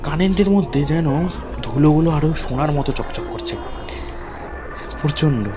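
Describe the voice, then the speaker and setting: Man telling horror, clearly noisy recording. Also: fairly high pitch, lively intonation, moderate pace. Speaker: horror story narrator. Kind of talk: dramatic first-person horror storytelling.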